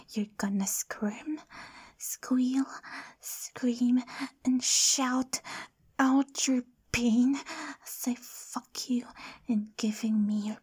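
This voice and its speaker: A woman's voice: a little high, a little mellow, full character voice. A woman playing a domineering, possessive lover in an intimate audio roleplay, whispery and commanding. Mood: menacing. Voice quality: breathy, whispery. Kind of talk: adult ASMR audio roleplay.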